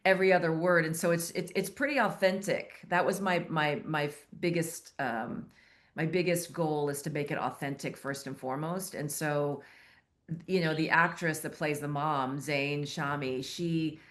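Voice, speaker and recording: A woman's voice: sits low, fairly even intonation, moderate pace, fairly bright tone. A woman born in Iraq, whose first language is Arabic, chatting casually about her film with many you-knows. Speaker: filmmaker. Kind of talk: casual interview answers.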